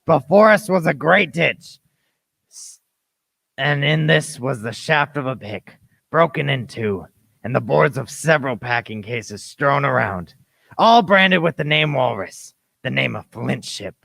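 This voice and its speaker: A man's voice: medium pitch, lively intonation, a little slow, gravelly, fairly bright tone, no room sound, full character voice. A man playing an earnest adventurer who narrates his tale in a radio play with dramatic storytelling. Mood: dramatic, tense. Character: earnest grown Jim Hawkins recalling his boyhood adventure.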